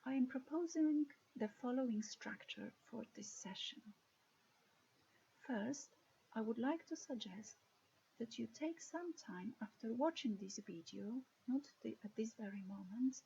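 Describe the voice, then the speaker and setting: A woman from Poland with a Polish accent, giving a recorded course lecture in a measured, careful way with occasional restarts. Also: on the high side, expressive, creaky, dark tone, breathy edge, muffled recording.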